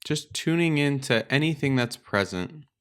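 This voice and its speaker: A man leading a guided meditation as a teacher, speaking in a calm, gentle, unhurried way with pauses. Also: medium pitch, clean tone. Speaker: meditation teacher and podcast host. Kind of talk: guided meditation, slow and instructional.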